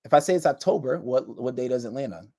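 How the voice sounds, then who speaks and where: A man's voice: mid-range voice, expressive, fast, dark tone. Thirty-something guy hosting his livestream, easygoing drawl, keeps restarting his sentences. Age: 30s. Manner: laid-back, drawling, trails off and restarts. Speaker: livestream host. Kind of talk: casual livestream chat.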